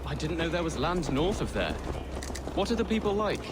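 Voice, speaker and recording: Casting: courteous young lord for a game, curious, higher voice, very animated, noise behind. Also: male voice, a little fast. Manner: courteous, inquisitive. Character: polite, curious, gentle young nobleman.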